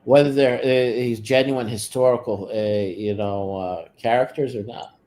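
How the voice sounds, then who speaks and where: A man's voice: medium pitch, fairly even intonation, unhurried, a little mellow. A man whose first language is Hebrew, speaking in a casual chat, hesitant with many filler sounds but insistent on his point.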